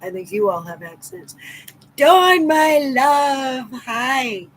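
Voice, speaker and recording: A woman's voice: high-pitched, sing-song, slow, fairly bright tone, a little hiss or hum. A middle-aged woman from Massachusetts with a local accent, chatting casually and affectionately with viewers on a livestream and greeting them by name. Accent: Southeastern Massachusetts. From Massachusetts, USA. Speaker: livestream host.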